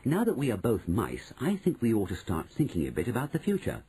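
Male narrator playing sensible boy mouse, storybook dialogue, earnest, explaining, very animated pitch, husky. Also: a little fast, full character voice. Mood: friendly. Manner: earnest, reasoning, patiently explaining. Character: sensible, matter-of-fact young boy turned mouse.

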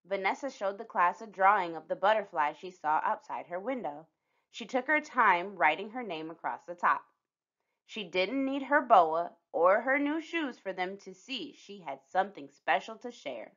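A woman's voice: mid-range voice, lively intonation, moderate pace, fairly bright tone, highs cut off. A woman reading a children's picture book aloud as a scripted storyteller.

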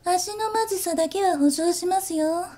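Woman acting a high-voiced, mellow zombie bunny stewardess. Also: normal speed. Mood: politely teasing. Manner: soft, formal customer-service politeness. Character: polite, teasing female zombie bunny flight attendant. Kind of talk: Japanese ASMR situation voice drama.

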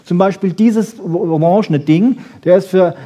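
A man giving a lively popular science lecture, emphatic, with rhetorical questions and short punchy asides. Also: sits high, unhurried. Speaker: lecturer on brain research.

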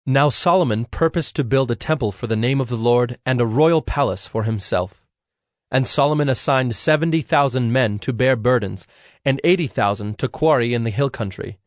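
A man narrating scripture from a script in a measured, formal way. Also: medium pitch, no echo, studio quality.

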